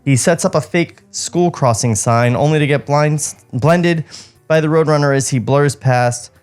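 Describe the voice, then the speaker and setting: A man's voice: on the high side, measured pace, darkish tone, clear, resonant tone. Man reading a script aloud, music underneath. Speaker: podcast co-host reading stage directions. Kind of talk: script table read with joking asides.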